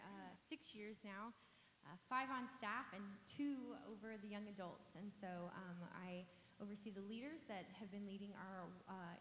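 A young woman in her twenties who leads a church's young adults, speaking eagerly with fillers on a panel. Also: mid-range voice. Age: 20s. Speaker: young adults staff leader. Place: church stage, large hall. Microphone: handheld mic. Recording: echoey hall with faint hiss.

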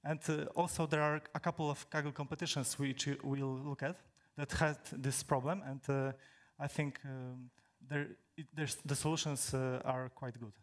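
A young man from Poland, a native Polish speaker, giving a technical talk on stage in a large hall, halting with many fillers and restarts. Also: mid-range voice, flat delivery. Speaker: conference speaker.

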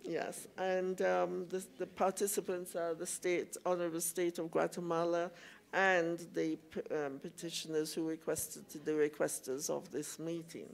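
A middle-aged woman chairing a formal hearing, speaking hesitantly with frequent pauses into a table microphone. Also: on the low side, little pitch movement, gravelly. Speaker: commissioner chairing a public hearing. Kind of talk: formal procedural remarks, halting. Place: conference hall hearing room. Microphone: gooseneck table microphone.

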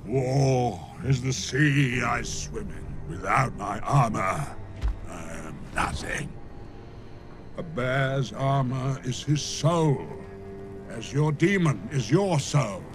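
An actor playing a proud, brooding armored polar bear warrior in a fantasy film, speaking gravely and tersely. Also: male voice, medium pitch, lively intonation, takes it slowly, over music. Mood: bitter, somber.

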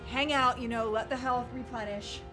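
Young woman streamer, jumpy and excitable, high-ish voice over loud game music. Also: moderate pace. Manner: jittery, excitable, swings from loud yelps to hushed muttering. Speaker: gamer. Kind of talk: let's play commentary. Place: small room indoors. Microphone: headset.

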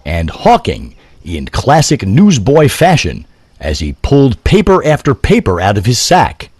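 A man reading a scripted story in a literary, deliberate storytelling manner. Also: mid-range voice, huge pitch swings, darkish tone, breathy edge, dry recording.

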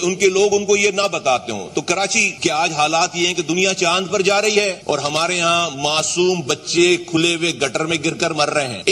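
Bright-voiced lawmaker pleading in a hall. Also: male voice, high-pitched. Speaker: member of parliament. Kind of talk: parliamentary speech. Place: large parliament chamber. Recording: parliament broadcast with hall reverb.

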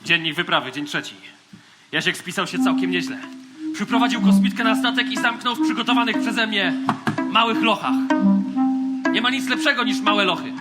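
A man's voice: sits high, normal speed, lightly acted. An actor playing a bossy, macho boy captain in a radio play, pompous and scornful. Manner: pompous, self-assured. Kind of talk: theatrical radio play acting. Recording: loud music behind the voice.

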